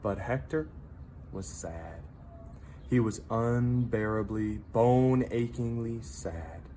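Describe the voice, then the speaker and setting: A reader narrating a children's story aloud, with dramatic, drawn-out emphasis on key words. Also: medium pitch, dark tone, slight background noise.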